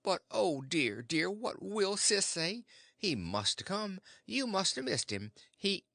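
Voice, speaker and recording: A man voicing an excitable, fretful farm wife in an audiobook, gushing and breathless. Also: high-pitched, slow, creaky, no echo, clean studio sound, voice pushed up, heavily acted. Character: excitable fussing middle-aged farm wife. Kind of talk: audiobook character voice.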